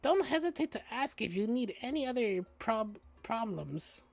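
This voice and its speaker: A streamer voicing a prim, eager female dinosaur high school student in a game, sugary and formally polite. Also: sing-song, normal speed, vocal fry, faint music behind. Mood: sweetly obliging. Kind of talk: visual novel character voice read aloud.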